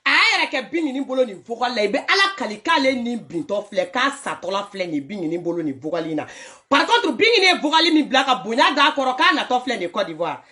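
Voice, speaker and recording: A woman in casual online commentary, her fairly high voice swinging widely in pitch. She talks animatedly and scolds, often breaking into laughter. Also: big pitch swings, fairly quick, pushed and bright. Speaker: social media commentator.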